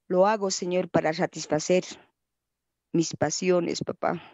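A woman praying aloud in an earnest, pleading way, often fading softly at the end of each phrase. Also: fairly low pitch, steady tone, unhurried, no echo, dull audio. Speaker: person praying. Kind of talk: spontaneous devotional prayer.

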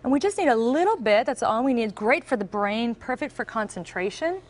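Woman chef, thirties, upbeat, explanatory, fairly high voice, TV studio kitchen, lapel mic. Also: lively intonation, fairly quick. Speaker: guest chef. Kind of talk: cooking demonstration on TV. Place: TV news studio kitchen set.

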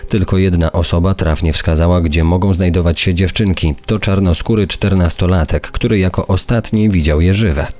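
A man's voice: low voice, steady tone, moderate pace, few pauses, darkish tone. A man reading a scripted true-crime narration. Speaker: podcast narrator. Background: background music.

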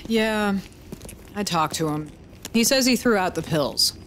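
A woman's voice: medium pitch, animated, measured pace, slight background noise, natural voice. An actress playing a wary young-sounding woman out with a friend, in naturalistic audio drama dialogue. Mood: casual. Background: music.

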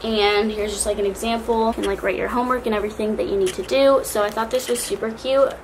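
A teenage girl vlogging from her bedroom, chatty and bubbly with lots of fillers, her voice a little hoarse, a fan running behind her. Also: medium pitch, steady tone, fast. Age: teens. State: slightly hoarse from cheer camp. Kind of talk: casual backpack vlog. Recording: faint fan noise behind.

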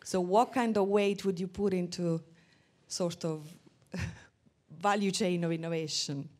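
A woman asking a long, halting question from the audience at a conference. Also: on the low side, fairly even intonation, darkish tone. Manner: searching, halting phrasing.

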